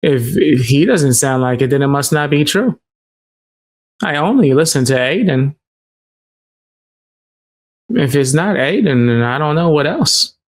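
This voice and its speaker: A man's voice: on the high side, animated, measured pace, pauses often. A man giving casual reaction commentary in a slangy, ribbing, incredulous way.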